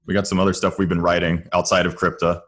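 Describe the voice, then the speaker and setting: A man's voice: low voice, level tone, quick pace. A man talking casually as a guest writer in a podcast interview.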